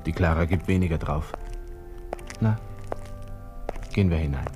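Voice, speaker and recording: Radio drama: agitated young Austrian lieutenant, low register, Viennese accent, colloquial inner monologue, proud and brooding. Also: male voice, moderate pace, music in the background. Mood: anguished, self-pitying. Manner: colloquial, muttering to himself, abrupt self-questioning.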